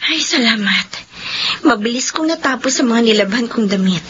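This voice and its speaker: A woman's voice: fairly high pitch, animated, moderate pace, muffled recording, over music, lightly acted. A woman playing a weary, exasperated elder sister in a radio drama, earnest and reproachful, with a Filipino accent. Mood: relieved.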